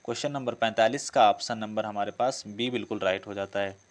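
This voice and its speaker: A man's voice: lowish voice, steady tone, a little fast. Man teaching an exam lesson. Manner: didactic, repeats key phrases and asks himself questions. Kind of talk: test-prep lesson, working through questions.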